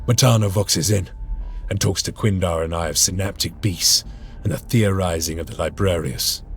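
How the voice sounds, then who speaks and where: A man's voice: low-pitched, slight background noise. A man playing a stoic, weary veteran space marine sergeant, solemn and formal in dramatic game narration. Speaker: character narrator. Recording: dramatic music behind the voice.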